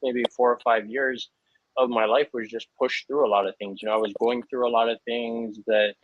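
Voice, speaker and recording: A man's voice: medium pitch, level tone, normal speed, dry recording. Earnest man on a dull video call. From Hawaii. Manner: earnest, thoughtful, with frequent fillers. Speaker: panel guest. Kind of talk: reflective panel talk. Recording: dull lo-fi, dry sound.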